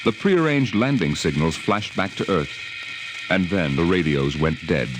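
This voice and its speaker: A man narrating a 1950s radio drama in a dramatic, measured announcer style, on a vintage recording. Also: sits low, lively intonation, background noise. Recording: vintage radio with loud music behind. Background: loud music.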